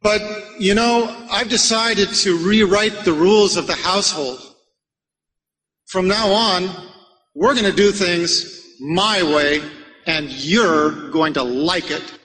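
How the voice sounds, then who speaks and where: A man raised in America giving an Islamic lecture to a live audience, measured and rhetorical, putting questions to the listeners. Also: high voice, sharp tone. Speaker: Islamic preacher. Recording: long hall reverb.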